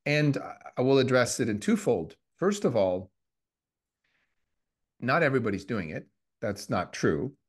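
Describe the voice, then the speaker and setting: A man in his fifties from Switzerland, co-hosting a podcast in a reflective, halting way with many restarts. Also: mid-range voice, sing-song, breathy edge. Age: 50s. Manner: reflective, restarts often, lots of fillers. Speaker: podcast co-host. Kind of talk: casual conversational podcast.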